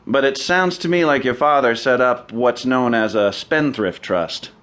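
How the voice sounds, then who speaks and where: An actor playing a shrewd, unflappable American defense lawyer in a radio drama, with a clipped, questioning manner. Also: male voice, medium pitch, unhurried, fairly bright tone, close to an everyday voice. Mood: measured. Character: shrewd, cool male criminal defense lawyer.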